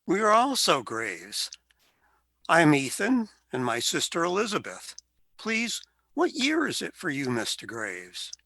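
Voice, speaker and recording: A man playing a polite young 1920s gentleman in a radio play. His voice is bright with a creaky edge, and his pitch moves freely as he speaks. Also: huge pitch swings, unhurried, no room sound, full character voice. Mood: polite, questioning. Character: polite, well-bred young gentleman from 1920.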